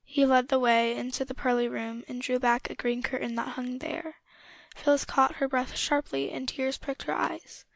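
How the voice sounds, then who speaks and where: A woman's voice: high voice, little pitch movement, moderate pace, creaky, dry recording. A woman narrating a scripted story as an audiobook reader.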